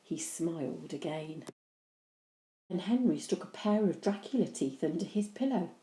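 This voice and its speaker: A woman reading a children's story aloud with animated, deliberate, expressive narration. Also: on the low side. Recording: echoey room.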